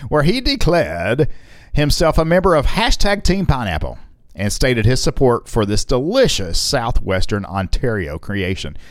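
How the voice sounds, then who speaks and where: A man co-hosting a casual podcast, reading headlines and tossing in quick jokes. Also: medium pitch, big pitch swings, no echo, clean studio sound. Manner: jokey, quick asides and laughs. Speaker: podcast co-host.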